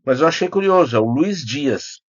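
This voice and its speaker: A man's voice: on the high side, lively intonation, moderate pace, no room sound, highs cut off. A Brazilian man talking casually and unscripted as a video host, in a rambling, hesitant way, often correcting himself. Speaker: YouTube host, film critic. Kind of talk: casual unscripted vlog talk, answering comments.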